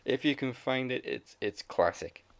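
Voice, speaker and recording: A man co-hosting a casual podcast, chatty and quick to laugh, retelling film scenes with put-on voices. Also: medium pitch, animated, gravelly, no echo. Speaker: podcast co-host.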